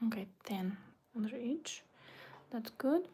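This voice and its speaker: A woman's voice: a little high, animated, slow pace, dark tone, a little hiss or hum. A woman from Bulgaria, designing a website on a livestream and murmuring her thoughts aloud, often close to a whisper. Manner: murmuring half to herself, often dropping to a whisper. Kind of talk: casual livestream, thinking aloud while working.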